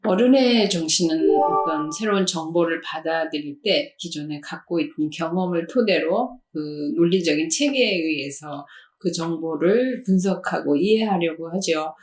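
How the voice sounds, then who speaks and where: A woman professor explaining child development in a calm, measured way. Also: lowish voice, roomy sound, rough recording. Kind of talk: expert explanation in a discussion.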